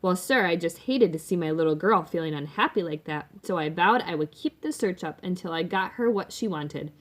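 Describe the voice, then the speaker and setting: A woman's voice: lowish voice, lively intonation, a little fast. A woman voicing a boastful, doting rich businessman father in a storybook, emphatic and folksy. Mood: excited, doting.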